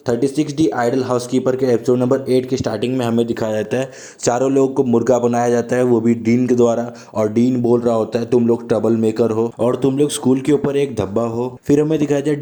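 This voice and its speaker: A man's voice: mid-range voice, level tone, a little fast, dark tone. A young man with a sore throat, casually recapping an anime and reading the characters' lines in his plain voice. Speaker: anime explainer narrator.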